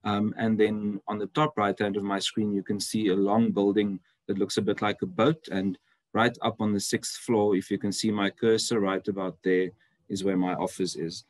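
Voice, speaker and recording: Low-voiced Afrikaans-speaking man on a video call. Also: level tone, normal speed, dry recording. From South Africa. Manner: friendly, chatty, many ums. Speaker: university lecturer presenting. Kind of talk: online seminar presentation. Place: indoor room, video call.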